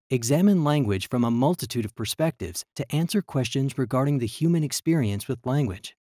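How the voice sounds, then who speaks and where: Male narrator, mid-pitched, measured scripted reading, dry close mic. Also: darkish tone, no room sound. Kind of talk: scripted virtual tour narration.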